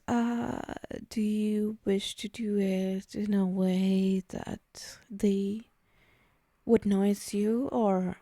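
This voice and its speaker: A woman playing a possessive, teasing female demon in an ASMR roleplay, menacing yet indulgent, hushed and speaking directly to the listener. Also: medium pitch, no echo, studio quality. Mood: smug, coaxing.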